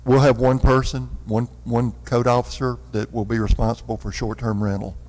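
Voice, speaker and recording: A man's voice: medium pitch, level tone, moderate pace, darkish tone, slight background noise. A man answering questions as a city official in a formal council meeting, in a chamber. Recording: reverberant meeting hall.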